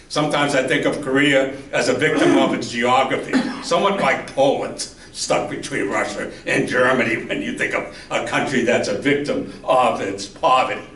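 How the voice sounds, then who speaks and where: A man's voice: a little high, fairly bright tone. A man, a history professor, giving a chatty public lecture in a library meeting room, asking the audience questions now and then. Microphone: handheld mic, heard from afar. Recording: echoey room, voice from far off.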